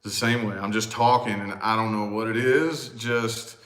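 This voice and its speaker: A man with a loud, booming voice, talking in a casual, animated chat with exclamations and swearing. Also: normal speed. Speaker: vlog co-host. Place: large echoey house. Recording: echoey room.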